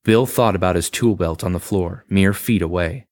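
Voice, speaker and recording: Deep-voiced man reading a horror story. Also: a little mellow, no room sound, clean studio sound. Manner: measured, dramatic pacing. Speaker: story reader. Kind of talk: scripted horror story narration.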